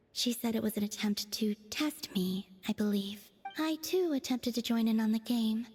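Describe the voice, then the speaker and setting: A woman playing a detached, quietly innocent young woman in a video game, wistful and understated, with pensive pauses. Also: fairly high pitch, slightly rough.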